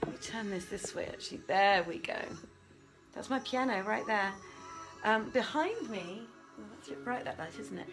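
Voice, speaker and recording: Woman, livestream host, medium pitch, casual and chatty, apologetic, talking to herself, home room, faint background music. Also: animated, moderate pace. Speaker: host of a live online reading. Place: home room with desk and piano. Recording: livestream with music playing behind. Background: soft background music.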